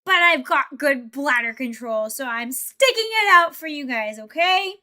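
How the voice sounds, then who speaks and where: A woman's voice: high voice, animated, normal speed, bright, forceful tone. A young woman streamer chatting casually while building Lego, thinking aloud and answering her chat. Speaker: VTuber streamer.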